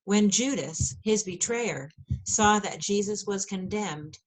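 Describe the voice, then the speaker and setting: Mid-pitched woman narrating scripture. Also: slow, low-quality recording. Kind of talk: church scripture reading.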